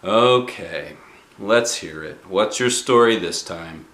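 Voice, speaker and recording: A weary middle-aged school principal in a storybook, acted out by a man, with a fairly low voice. He is patient and dry, measuring out his words. The room adds a noticeable echo. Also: lively intonation, takes it slowly, a bit bright, full character voice. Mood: resigned. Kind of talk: children's storybook read aloud.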